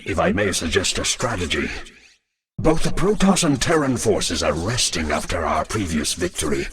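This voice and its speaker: An actor playing a deferential, scheming lieutenant, speaking in a courtly, deliberate way in a video game. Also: male voice, lowish voice, fairly bright tone. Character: deferential, scheming lieutenant serving an alien queen.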